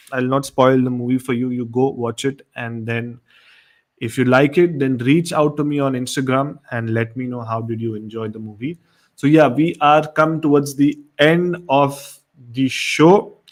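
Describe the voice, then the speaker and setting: A man with an Indian accent hosting a horror show, chatting casually to his listeners and repeating phrases for emphasis. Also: medium pitch. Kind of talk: casual livestream chat to listeners.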